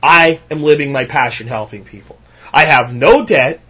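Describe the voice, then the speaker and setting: A man's voice: fairly high pitch, moderate pace, bright, forceful tone, breathy edge. A man in his thirties hosting a solo podcast, talking in an emphatic, ranting way that repeats points for effect. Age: 30s. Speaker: podcast host.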